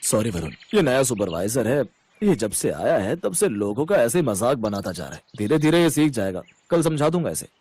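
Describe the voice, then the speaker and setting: A man's voice: mid-range voice, sing-song, a little fast, darkish tone, slightly rough. A man voicing an older male company boss, a polite and apologetic father-in-law in a cartoon story. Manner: polite, placating.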